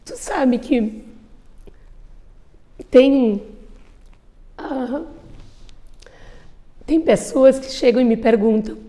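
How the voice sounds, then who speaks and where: A woman. Her voice is warm and mellow, and she talks slowly. She answers interview questions in an earnest, emphatic way. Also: high-pitched. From southern Brazil. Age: 50s. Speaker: lawyer and businesswoman.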